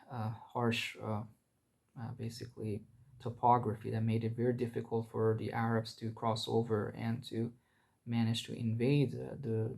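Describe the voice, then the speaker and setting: Flat, gravelly man, halting delivery. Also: mid-range voice. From Iran. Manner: halting, with frequent hesitations between phrases. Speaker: history commentator. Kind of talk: unscripted history talk.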